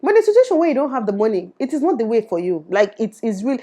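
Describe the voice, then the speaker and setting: A young Nigerian woman with a Nigerian accent, giving her opinions in an animated to-camera vlog and slipping into Pidgin. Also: a little high, very fast. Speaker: YouTuber. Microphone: lapel.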